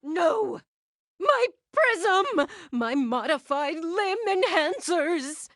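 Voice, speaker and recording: A woman playing a shrill, possessive female gem villain in a cartoon game. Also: expressive, drawn-out words, fairly bright tone, no room sound, studio quality, full character voice. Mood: dismayed. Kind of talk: animated game voice acting.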